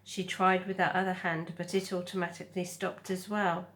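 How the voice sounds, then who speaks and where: A woman reading a children's story aloud as narrator, in a careful, expressive manner. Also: fairly low pitch, normal speed. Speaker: storybook reader.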